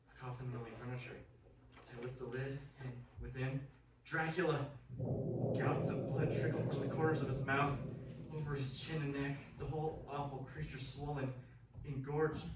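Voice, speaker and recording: Guy playing a scared young man in a radio horror play, halting n dramatic, echoey room w faint noise. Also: mid-range voice, close to an everyday voice. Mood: mounting panic. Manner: halting, fearful, repetitive, dramatic. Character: terrified young Englishman recalling a vampire's castle under hypnosis. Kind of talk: stage-like radio drama acting. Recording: roomy, distant mic, faint noise.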